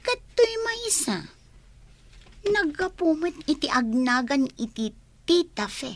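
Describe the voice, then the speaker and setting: Actress as spoiled Ilocano daughter, high swooping voice, bossy, meddling, bratty, dry radio comedy recording. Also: female voice, big pitch swings, slow pace, dry recording, full character voice. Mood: nosy, excited. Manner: bratty, tattling. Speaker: voice actor. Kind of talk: radio comedy drama acting.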